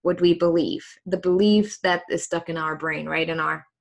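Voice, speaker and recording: A woman's voice: lowish voice, steady tone, normal speed, vocal fry. A woman talking openly in a casual interview, reflective and thoughtful, with frequent ums and restarts. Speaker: host.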